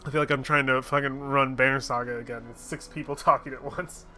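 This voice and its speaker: Brash joking streamer guy, bright voice, game music faint behind. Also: medium pitch, fairly even intonation, fairly quick. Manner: joking, swearing, laughs often. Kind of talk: casual game commentary.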